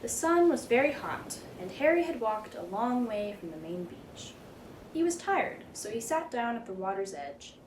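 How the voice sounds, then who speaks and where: A woman narrates a picture book for children with a highly animated, swooping delivery. Her voice is on the high side and expressive. The room adds noticeable echo, with a little noise underneath. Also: normal speed. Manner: animated, dramatic read-aloud delivery. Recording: roomy echo, background noise.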